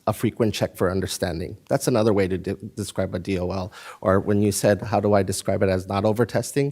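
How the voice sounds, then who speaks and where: A man's voice: lowish voice, a little mellow. A man, a school district administrator, explaining instructional plans to a board meeting in an emphatic, explanatory way. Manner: explanatory, emphatic, insists on finishing.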